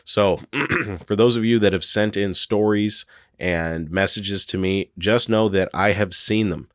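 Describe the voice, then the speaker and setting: A man hosting a solo podcast, hoarse from a cold, chatting casually and rambling with lots of fillers and hedges. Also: on the low side, measured pace, dry recording, studio quality. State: hoarse, getting over a cold. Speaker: podcast host.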